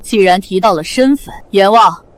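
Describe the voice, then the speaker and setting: A woman's voice: mid-range voice, normal speed, slight background noise, natural voice. A woman voicing an imperious queen mother of the gods in a costume drama, commanding and scornful. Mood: furious. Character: imperious divine queen mother, haughty and wrathful. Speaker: divine empress mother.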